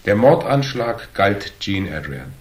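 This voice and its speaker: Deep-voiced, shrewd hardboiled investigator. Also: male voice, sing-song, takes it slowly. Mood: needling, explanatory. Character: cool, shrewd hardboiled male investigator, calmly laying out deductions. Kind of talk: German crime radio drama acting.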